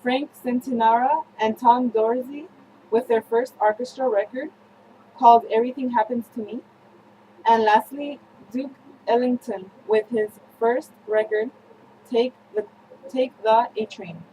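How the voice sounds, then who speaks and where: A young woman presenting a scripted student newscast, reading haltingly with slips and self-corrections. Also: fairly high pitch, warm tone, slight background noise, low-quality recording. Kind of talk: scripted news-style narration for a history project.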